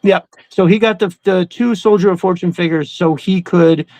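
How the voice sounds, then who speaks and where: Higher-pitched, creaky-voiced man chatting casually. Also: normal speed, darkish tone, no echo. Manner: rambling, slangy, enthusiastic. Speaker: host of a toy collecting livestream. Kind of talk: casual chat.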